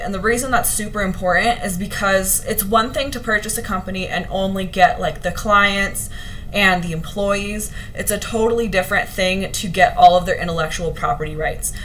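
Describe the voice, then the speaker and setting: A young woman with a slightly hoarse voice, chatting earnestly to her viewers on a vlog, with plenty of ums and restarts. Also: medium pitch, fairly bright tone, a little hiss or hum, low-quality recording. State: a little hoarse. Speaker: vlogger. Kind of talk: casual talk to camera to live viewers.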